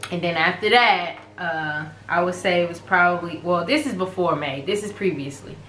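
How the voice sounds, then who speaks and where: A woman's voice: lowish voice, moderate pace, fairly bright tone, roomy sound, slight background noise. A woman, co-hosting a casual story time vlog in a chatty, teasing way. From Texas, USA. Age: 20s. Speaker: vlog co-host.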